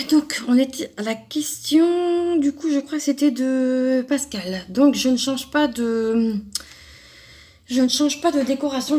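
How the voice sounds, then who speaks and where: A woman's voice: high voice, warm tone. Chatty young French woman. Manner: chatty, rambling, many fillers and restarts. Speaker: craft YouTuber answering a subscriber tag. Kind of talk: casual chat to camera.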